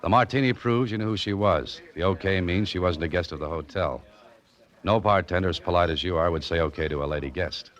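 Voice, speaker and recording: An American actor playing a wry, hard-boiled mystery hero in a 1940s radio drama, with dry, clipped wisecracking delivery. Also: male voice, sits low, a little fast, a bit bright. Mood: dry, probing. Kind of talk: 1940s radio mystery acting and first-person narration. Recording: muffled old radio recording with music.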